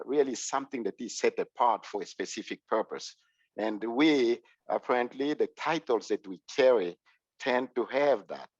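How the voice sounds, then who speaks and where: A man's voice: mid-range voice, no room sound. Congolese-accented clergyman on a dull call line. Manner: measured, with frequent "uh" and "um". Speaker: clergy presenter. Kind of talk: formal online presentation. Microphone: video call. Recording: dull, dry video call audio.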